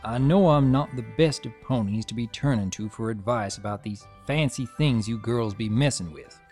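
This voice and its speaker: A man's voice: medium pitch, moderate pace, darkish tone, faint music behind, natural voice. A man voicing a kindly, plain-spoken male cartoon farm pony with a folksy rural drawl. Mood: gentle, advising. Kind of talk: dramatic cartoon fan-fiction reading.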